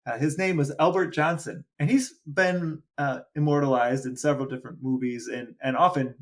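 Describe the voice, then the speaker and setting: A man co-hosting a podcast, chatting animatedly with frequent restarts and excited jumps in pitch. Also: moderate pace. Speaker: podcast co-host. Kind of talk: casual chat.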